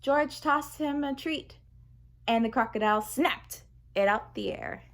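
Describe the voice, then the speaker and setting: Lady reading a kids picture book out loud, kinda high voice, expressive and deliberate. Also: vocal fry. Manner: expressive, deliberate storytelling for young children. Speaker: children's storyteller. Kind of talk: read-aloud children's picture book narration.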